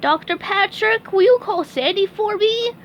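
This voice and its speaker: A woman voicing a cheerful young male sea sponge with a very high, squeaky voice. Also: lively intonation, measured pace, muffled recording, slight background noise. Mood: hopeful.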